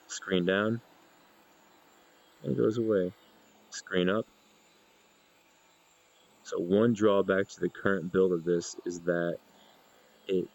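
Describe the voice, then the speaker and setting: A man narrating an informal software demonstration in a measured, explanatory way. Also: deep voice, vocal fry. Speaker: gaming video creator demonstrating software. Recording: dull lo-fi voice recording.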